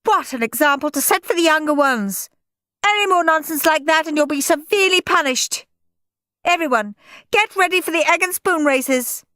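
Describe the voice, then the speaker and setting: A woman's voice: sits high, animated, moderate pace, pushed and bright, dry recording, clean studio sound, voice pushed up. A woman voicing a stern, bossy female schoolteacher who barks orders in a storybook reading. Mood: scolding. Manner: barking, commanding.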